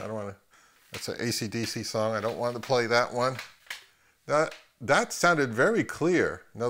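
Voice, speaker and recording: A man with a mid-pitched voice hosts a casual gear review. He talks off the cuff, haltingly, often repeating a word as he thinks aloud. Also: a bit bright. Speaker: host of an audio gear video channel. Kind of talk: casual unscripted equipment review commentary.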